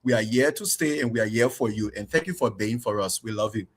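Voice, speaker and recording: A man who sits on the show as a regular panelist, greeting everyone with flattering praise, energetic and laughing. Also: fairly low pitch, quick pace, husky. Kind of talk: effusive greetings.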